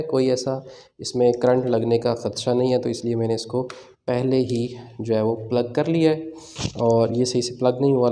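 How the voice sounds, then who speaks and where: Man, Pakistani Urdu accent, DIY tech tutorial, relaxed dark tone, casual with fillers, working at a table. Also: mid-range voice. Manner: casual explanatory, many fillers, describes while working. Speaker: tech channel host.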